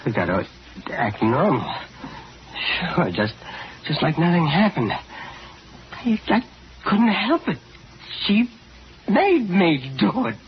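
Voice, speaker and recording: An actor playing a nervous, guilt-ridden young murderer in a 1940s radio horror drama, stammering and halting with anxiety. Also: male voice, high voice, sing-song, a little hiss or hum, exaggerated voice. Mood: panicked, muttering. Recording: dull vintage radio recording with music.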